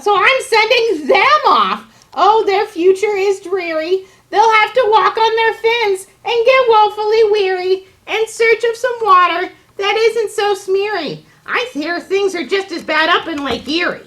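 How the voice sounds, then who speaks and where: Puppet-show forest creature: old, grumpy, scolding, very high voice, slow and emphatic rhyming delivery. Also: female voice, fairly bright tone. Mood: indignant, scolding. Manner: emphatic, stammering, indignant delivery in rhyme. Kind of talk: children's puppet show storytelling.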